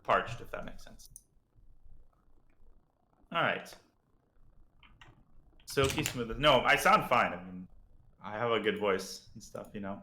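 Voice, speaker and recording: A man's voice: fairly low pitch, slow pace, pauses often, bright, forceful tone, reverberant, a little hiss or hum. A man with a slightly parched throat, chatting casually as he teaches chess on a live stream and thinks aloud about moves.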